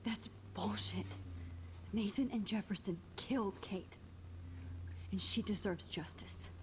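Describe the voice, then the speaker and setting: An actress playing a determined young woman held captive in a video game, speaking in a hushed, urgent way. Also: medium pitch, slow, whispered, lightly acted, low-quality recording. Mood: resolute. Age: young adult.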